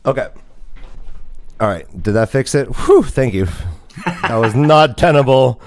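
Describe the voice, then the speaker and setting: A man hosting a sports livestream, chatting casually in an animated, joking way. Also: mid-range voice, unhurried. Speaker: host of a fantasy football livestream.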